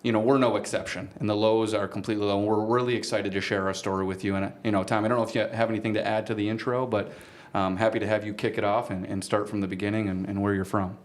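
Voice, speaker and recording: A man co-hosting a podcast in a conversational way, speaking in wordy, measured sentences with deliberate pauses. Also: fairly low pitch, level tone. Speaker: podcast co-host and golf business co-founder.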